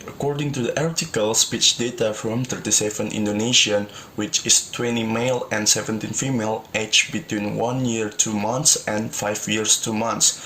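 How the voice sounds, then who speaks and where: A man's voice: fairly low pitch, sharp tone, a little hiss or hum, rough recording. A young adult man giving a student presentation, reading from notes and often linking points with "and also". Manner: reads from notes, repeats "and also". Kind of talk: class presentation.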